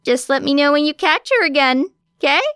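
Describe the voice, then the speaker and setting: A woman's voice: high-pitched, moderate pace, a bit bright, no echo, studio quality, voice pushed up. A woman voicing an easygoing, teasing male detective in a lifted, friendly voice.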